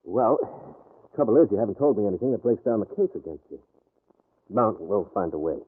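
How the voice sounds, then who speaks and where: An actor playing an easygoing amateur sleuth who probes with casual, hesitating questions in an old-time radio crime drama. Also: male voice, fairly low pitch, lively intonation, fairly quick, breathy edge. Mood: inquisitive. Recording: muffled, narrow-band vintage radio recording.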